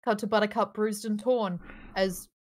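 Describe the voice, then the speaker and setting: A woman's voice: medium pitch, fairly even intonation, moderate pace. A woman reading scripted stage directions as narrator of a table read over a video call.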